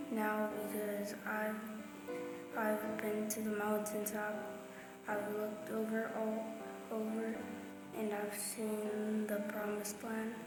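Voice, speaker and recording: Slow, very even-toned woman reciting. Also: mid-range voice. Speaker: church member reading quotes. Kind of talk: recited quotations. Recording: very echoey hall, music underneath. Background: music.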